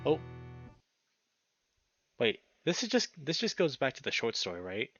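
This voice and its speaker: A man's voice: medium pitch, wildly swooping pitch, unhurried, fairly bright tone, highs cut off. A man giving casual, emotional gameplay commentary as a let's play host, effusive and exclamatory, drawing out his words over game music.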